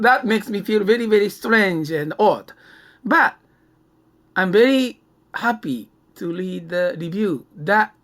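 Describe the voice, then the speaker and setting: A man with a Japanese accent co-hosts a podcast, speaking conversationally in halting non-native English. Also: high voice, fairly bright tone. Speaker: podcast co-host and filmmaker.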